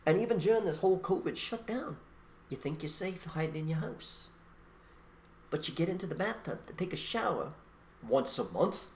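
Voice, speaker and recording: Emphatic man with an animated, swinging delivery. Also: high-pitched, normal speed, slight background noise. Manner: emphatic, blunt, rhetorical. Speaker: podcast co-host. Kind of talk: opinionated conversational monologue.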